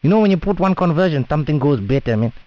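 A cheeky South African man of Indian accent makes a comic prank call. His voice sits a little high, and he rambles along in thick slang. The sound is dull, like a phone call. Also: expressive, rapid-fire, no room sound. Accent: South African Indian English. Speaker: prank caller.

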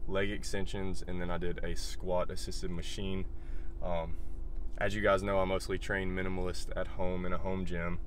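A young man with a deep, low voice talks casually into his phone camera, loose and chatty, dropping "like" and "you know" often. He is recorded in a car with faint road and engine noise underneath. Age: young adult. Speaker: fitness vlogger. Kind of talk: casual vlog talk to camera. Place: inside a car, then a home kitchen. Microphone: phone camera held or mounted nearby.

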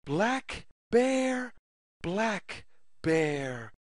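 A narrator reading a repetitive children's story aloud in a deliberate, word-by-word, sing-song way. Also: medium pitch, very animated, no room sound, clean studio sound.

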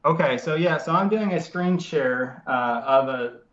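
A man in his thirties with a nasal voice from a cold, explaining software casually over a video call from his home study, with many fillers and restarts. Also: on the high side, expressive, measured pace. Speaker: software developer guest. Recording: roomy lo-fi video call audio.

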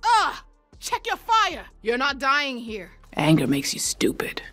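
A woman's voice: sits high, huge pitch swings, measured pace, pushed and bright, soft background music, heavily acted. A woman playing a tough, guarded sword-wielding apocalypse survivor in a video game, with terse, commanding combat lines. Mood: urgent combat shouting.

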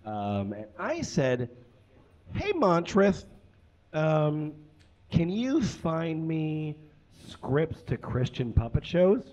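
High-pitched, animated male comedy host. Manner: halting, self-deprecating, joking. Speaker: show host. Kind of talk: casual, comedic stage introduction. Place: small indoor comedy stage. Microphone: stage microphone on stand. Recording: muffled live stage microphone.

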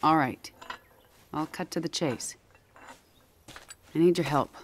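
A woman's voice: low-pitched, slow, a little hiss or hum. A woman playing a stern, determined special forces general in a video game cutscene, blunt and businesslike.

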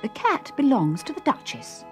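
A woman's voice: sits high, huge pitch swings, moderate pace, darkish tone, soft background music, pitched above the performer's own voice. A woman voicing a polite, curious young girl, speaking earnestly in a children's storybook reading.

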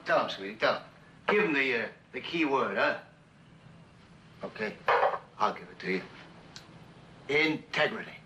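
An actor playing a bitter, disillusioned middle-aged executive husband in a television drama, with a halting, sardonic delivery. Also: male voice, medium pitch, bright, forceful tone, slight background noise. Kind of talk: 1960s television drama acting.

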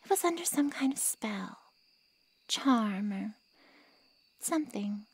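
A woman playing a haughty, callous ancient vampire in an ASMR roleplay drama, with mocking sarcasm and a breathy drawl. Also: medium pitch, lively intonation, slow pace, no room sound, heavily acted, poor audio. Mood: dismissive.

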